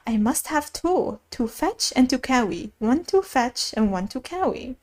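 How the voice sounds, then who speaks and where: A fussy old king from a storybook, acted out by a woman, pitched on the high side. He is pompous and impatient, easily alarmed and given to exclaiming. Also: sing-song, a little slow, darkish tone.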